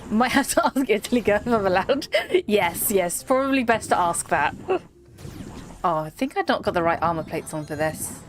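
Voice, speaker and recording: A woman's voice: mid-range voice, lively intonation, moderate pace, a little hiss or hum. A woman streaming a game, chatting casually with her viewers and laughing often, her voice worn by a slight cold. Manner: giggly, chatty, talks to chat by name. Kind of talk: casual live-stream chat.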